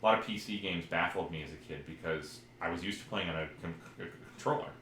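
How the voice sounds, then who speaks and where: A man with a very deep voice talks casually as a podcast co-host about old games. He rambles and feels around for details. The room is echoey, with some background noise. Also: quick pace, sharp tone. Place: indoor room, recorded live on stream. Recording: roomy echo, clear background noise.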